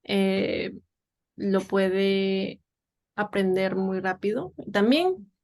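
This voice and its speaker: Woman guest from Peru, unhurried and halting, mid-range voice, casual interview. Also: medium pitch. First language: Spanish. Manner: hesitant, many fillers and restarts. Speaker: guest, English teacher for children.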